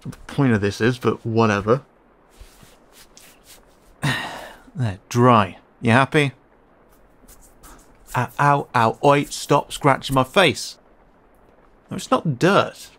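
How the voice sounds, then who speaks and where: A man voicing a cheeky, skittish young raccoon boy in an audio roleplay, muttering and grumbling with halting, sarcastic protests. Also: medium pitch, fairly bright tone. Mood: flustered.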